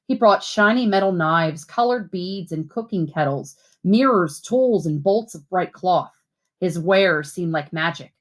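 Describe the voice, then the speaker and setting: A woman reading a children's picture book aloud like a teacher, with clear phrasing and expressive, instructive delivery. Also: medium pitch. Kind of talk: storybook read-aloud for children.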